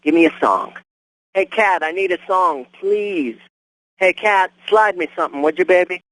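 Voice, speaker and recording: A man's voice: high voice, normal speed, fairly bright tone, no room sound, rough recording. A man playing a lovestruck male fan calling a radio DJ in a smitten, pleading tone. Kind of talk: scripted game voice lines.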